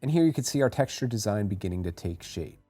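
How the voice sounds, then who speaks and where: A man reading a scripted how-to voice-over as a tutorial narrator, giving clear step-by-step instructions. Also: on the low side, animated, fast, dark tone, faint music behind.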